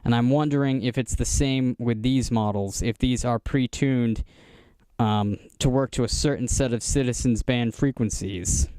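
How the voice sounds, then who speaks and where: An American man giving a casual, unscripted show-and-tell narration in a halting, explanatory manner. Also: medium pitch, dark tone, smooth, no echo. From United States. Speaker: vlogger showing vintage radio gear.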